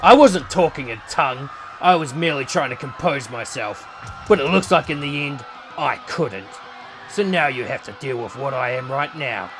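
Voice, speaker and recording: A man playing a composed but menacing former champion fighter with a dark, unstable side, speaking formally in a visual novel. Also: mid-range voice, expressive, normal speed, music in the background, a crowd in the background, natural voice. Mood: coolly menacing.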